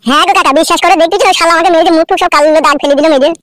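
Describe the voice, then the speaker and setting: A man's voice: very high-pitched, fairly even intonation, very fast, fairly bright tone, dry recording, studio quality. A man voicing a whiny, tattling, foul-mouthed young lad in a comedy cartoon, pleading and insistent.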